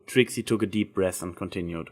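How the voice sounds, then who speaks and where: A man's voice: deep voice, fairly quick, darkish tone, slightly rough. A man reading a scripted story aloud as its narrator.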